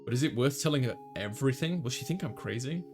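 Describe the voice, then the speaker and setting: A man plays a visual novel and reads it out loud as a story. His voice sits at an ordinary male pitch. He acts out narration and dialogue, with music clearly playing behind him. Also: expressive, fast. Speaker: let's player voicing a visual novel. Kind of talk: reading game narration and dialogue aloud, dramatized. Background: clear background music.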